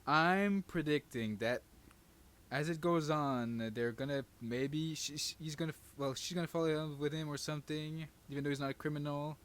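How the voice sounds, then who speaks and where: A man's voice: on the high side, normal speed, a bit bright. A man co-hosting a podcast in casual banter, joking crudely and rambling as he goes. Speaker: podcast co-host. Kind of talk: casual banter about manga.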